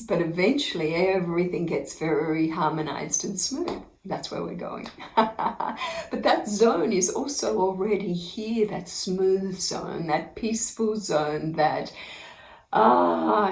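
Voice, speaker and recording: A woman, a spiritual teacher, telling inspirational stories about her own life in an unhurried, earnest way, often breaking into laughter. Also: lowish voice, vocal fry, a little mellow, roomy sound, rough recording. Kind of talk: inspirational personal storytelling to camera.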